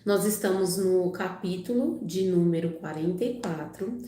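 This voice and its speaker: Woman livestreamer, warm dark tone, hoarse from a cold, casual chatty reading, roomy echo. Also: fairly low pitch, a little slow. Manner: chatty, frequent "né" and "tá bom" tags. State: recovering from a cold. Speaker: channel host reading the Bible live. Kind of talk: casual livestream talk and Bible reading aloud.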